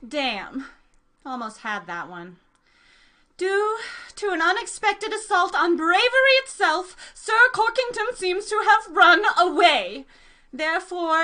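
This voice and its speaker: A high, bright-voiced queen, acted by a woman, imperious and scheming, an exasperated mother underneath. She speaks in regal, theatrical phrases suited to a comic sketch. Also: heavily acted.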